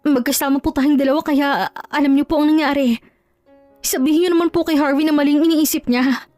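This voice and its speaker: Woman acting a desperate, high-voiced pleading wife. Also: steady tone, brisk, a little mellow, no echo, faint music behind, lightly acted. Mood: panicked pleading. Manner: pleading, urgent, insistent. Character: desperate, pleading young wife wrongly accused of cheating. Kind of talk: melodramatic audio drama acting.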